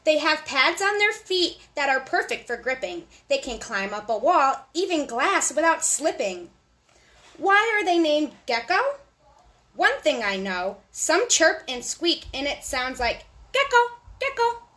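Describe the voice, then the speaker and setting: A woman hosting a storytime for young children, reading aloud in a sing-song, deliberate way with little asides to her listeners. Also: high-pitched, sing-song, a bit bright.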